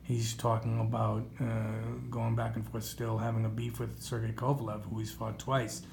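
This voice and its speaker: A man hosting a boxing talk show, speaking conversationally in wordy, halting sentences and posing rhetorical questions. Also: on the low side, flat delivery, gravelly, darkish tone. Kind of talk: conversational sports interview. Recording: roomy echo, faint background noise.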